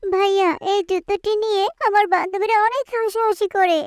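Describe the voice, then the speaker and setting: Very high-voiced, earnest little village girl. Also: fairly even intonation, fairly quick, darkish tone, dry recording, studio quality. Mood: upset, complaining. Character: earnest, sensitive little village girl, devoted daughter and sister. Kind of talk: cartoon character acting.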